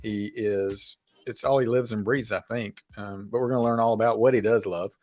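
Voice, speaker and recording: A man's voice: lowish voice, fairly even intonation, normal speed, a little mellow. A man hosting a local podcast in a casual, upbeat interview style, enthusiastic and chatty. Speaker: podcast host.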